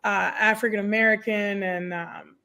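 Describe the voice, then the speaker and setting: A woman in her thirties whose first language is French, telling stories as an energetic guest on a podcast recorded over a video call from home. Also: medium pitch, normal speed. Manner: energetic storytelling, expressive hands. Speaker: guest, nurse career coach. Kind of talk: conversational podcast interview. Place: home room, indoors. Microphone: desk microphone on video call.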